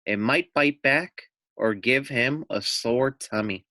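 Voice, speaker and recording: A man's voice: mid-range voice, takes it slowly, pushed and bright, no room sound, clean studio sound. A man reading a children's story aloud like a teacher, expressively, with asides to his listeners. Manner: addresses listeners with questions and asides.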